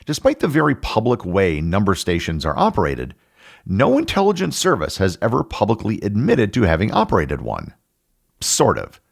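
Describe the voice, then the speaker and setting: Deep, gravelly man narrating with swooping intonation. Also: huge pitch swings, moderate pace. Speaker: podcast host. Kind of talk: scripted educational narration.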